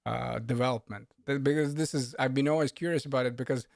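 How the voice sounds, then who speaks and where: Halting man hosting a livestream, dry sound. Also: medium pitch, steady tone, gravelly, a little mellow, dry recording. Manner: halting, rambling, often restarts and interrupts; unsmiling, matter-of-fact. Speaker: livestream host, coding instructor. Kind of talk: casual livestream chat.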